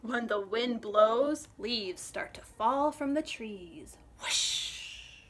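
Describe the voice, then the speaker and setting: Animated, high-voiced woman reading to children. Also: extremely slow. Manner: animated, expressive, asks the young listeners questions. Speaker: children's librarian reading a picture book aloud. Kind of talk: read-aloud storytime for young children.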